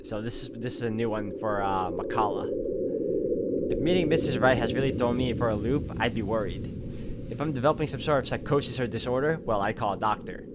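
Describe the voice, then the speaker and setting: A man's voice: mid-range voice, a little fast, very noisy. A man reading a visual novel aloud as a playthrough, dropping in wry casual asides. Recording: music behind the voice.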